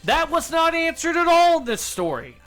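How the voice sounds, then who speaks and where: A man co-hosting a radio comedy show, telling stories in casual banter and swearing freely as he gets animated. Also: extremely high pitch, normal speed, faint music behind. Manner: profane, animated storytelling. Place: radio studio.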